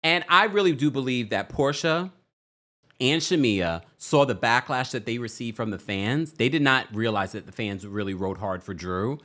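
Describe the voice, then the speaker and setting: A man with a deep voice hosts a casual celebrity news show. He talks in a chatty, animated way and laughs often. His pitch swings widely from low to high. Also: moderate pace. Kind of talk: casual commentary livestream.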